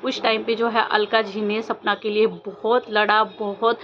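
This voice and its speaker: A woman's voice: mid-range voice, little pitch movement, unhurried. A woman vlogger giving informal opinion commentary, questioning insistently and repeating her points for emphasis. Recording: dull lo-fi audio with faint noise.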